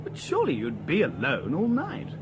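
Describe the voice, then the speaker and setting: A man's voice: mid-range voice, wildly swooping pitch, moderate pace, noisy background. An actor playing a complacent young man in a radio drama, speaking in a self-assured, matter-of-fact way. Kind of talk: BBC radio drama acting.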